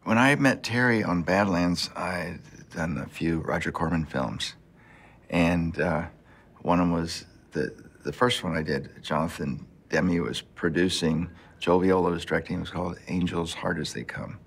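An older man, an art director, recalling his early film work in an unhurried, easygoing way with many fillers. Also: low-pitched, fairly even intonation, vocal fry, slightly rough. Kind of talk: reminiscing interview talk. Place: indoor room with plants.

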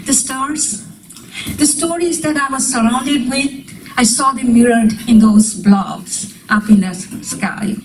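A woman's voice: fairly high pitch, a little mellow, recorded from a distance, a little hiss or hum, low-quality recording. A middle-aged woman from Bangladesh giving a storytelling talk with poems, holding a microphone in a community meeting room. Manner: storytelling, wondering tone, breaks into light laughs. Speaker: guest speaker. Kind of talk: lecture with poetry reading. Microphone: handheld microphone.

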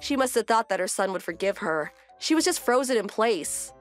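A woman's voice: medium pitch, animated, moderate pace, faint music behind, lightly acted. A woman voicing a fed-up young wife who narrates her family grievances in a conversational, pointed way for a manga dub. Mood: firm, reflective.

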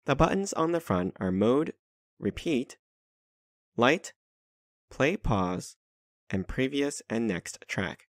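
A man's voice: medium pitch, very animated, slow pace, pauses often, dry recording, clean studio sound. A man reading scripted narration for a product review.

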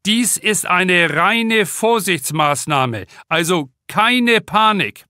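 An officious lifeguard in a crime audiobook, put on by a male reader. He calls out in a drawn-out official tone, raised high and bright, with a dry close sound. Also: animated, no echo, exaggerated voice. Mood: commanding. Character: officious male lifeguard shouting calm orders over water.

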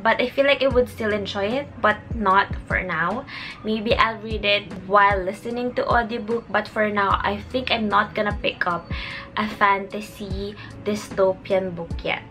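Young woman, casual bedroom vlog voice, rambling, over a clear lo-fi music bed. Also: mid-range voice, steady tone, normal speed, bright, forceful tone. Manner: chatty, rambling with restarts, switches to Tagalog phrases. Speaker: book vlogger. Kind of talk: casual talk to camera. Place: small bedroom, indoors.

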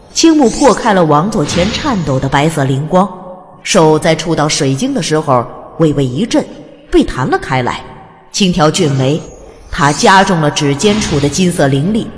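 A woman's voice: low voice, expressive, normal speed, a little hiss or hum. A woman reading a fantasy audiobook as narrator, in a dramatic scripted storytelling style, with reverb on her voice.

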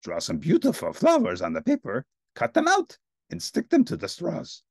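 A performer voicing an excitable, friendly furry monster who plays farmer and teaches crafts earnestly in a children's storybook. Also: mid-range voice, normal speed, gravelly, breathy edge, no echo, studio quality, voice pushed up, heavily acted. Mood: eager, proud. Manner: earnest, exuberant instructing.

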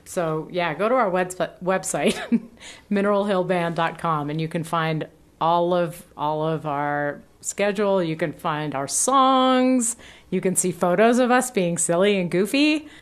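Lower-voiced woman, casual band plug. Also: unhurried. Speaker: band member, radio guest. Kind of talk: casual promotional chat.

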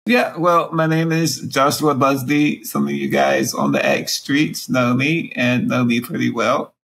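Man, 40s, raspy and gravelly, halting delivery through a breathing machine, interview guest over video call. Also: mid-range voice, fairly even intonation, a bit bright, a little hiss or hum. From Alabama. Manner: speaks around a breathing machine mouthpiece, pausing often. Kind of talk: candid personal interview. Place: small room at home, indoors.

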